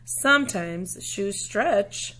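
A woman's voice: mid-range voice, animated, very slow, bright, forceful tone, full character voice, poor audio. A woman voicing an earnest, hopeful young boy in a storybook, with plain, emphatic speech. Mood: wistful.

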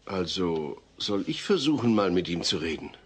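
Harried family father, lively pitch. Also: normal speed, breathy edge, heavily acted. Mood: earnest. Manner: polite, hesitant. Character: earnest, harried, well-meaning family father. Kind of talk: German dubbed sitcom audio drama.

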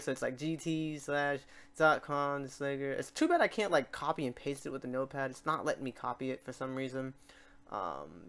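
A man hosting a casual software tutorial, rambling and thinking aloud as he works. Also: mid-range voice. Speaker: tutorial host. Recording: clearly noisy mic, keyboard clicks. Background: typing and mouse clicks.

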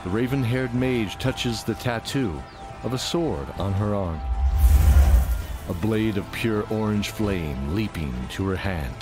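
A man's voice: fairly low pitch, takes it slowly. A man narrating a fantasy audio drama in a dramatic storytelling style, with music behind.

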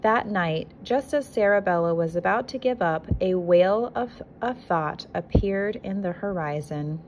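A woman who is a kindergarten teacher reads a picture book aloud to young children with expressive, deliberate storytelling. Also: mid-range voice, dull audio. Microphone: handheld phone filming the book. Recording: noticeable background noise, amateur phone recording.

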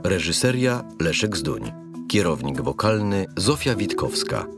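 A man's voice: low voice, big pitch swings, unhurried, over music. A man reading scripted end credits as the announcer of an audio play. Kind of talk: scripted narration.

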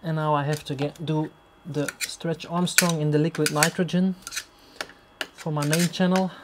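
Flemish guy talking over shredder clatter. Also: on the high side. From Belgium. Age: 30s. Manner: halting, restarts phrases, searches for English words. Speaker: channel host. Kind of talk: unscripted workshop talk while working. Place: workshop by a running industrial shredder. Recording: machine noise and clatter behind.

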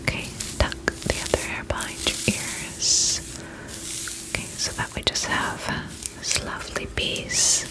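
A woman whispering gently and reassuringly in a breathy voice, as a spa attendant in an ASMR roleplay. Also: a little slow, rough recording. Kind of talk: ASMR roleplay, personal-attention service talk.

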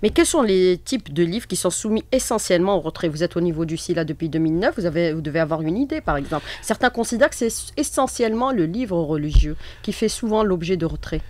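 A woman's voice: on the low side, lively intonation, fast talker, hardly any pauses, darkish tone. A woman asking probing questions as a radio news interviewer. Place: radio studio.

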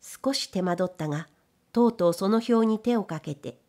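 A woman reading literary fiction as scripted narration, in a measured storytelling manner. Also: mid-range voice, dark tone. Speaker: audiobook narrator.

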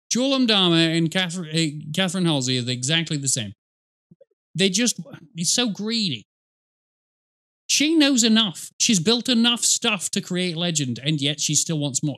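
Man, reaction host, higher-pitched, bright tone, dry joking, casual commentary. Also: sing-song, a little slow. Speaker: reaction video host, gamer. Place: small indoor streaming room. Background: game cutscene music and sound.

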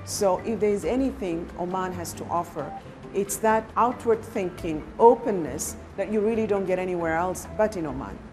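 A woman with a slightly low voice makes a statement for a promotional film. Music is clearly heard beneath her words. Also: moderate pace. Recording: music bed under voice.

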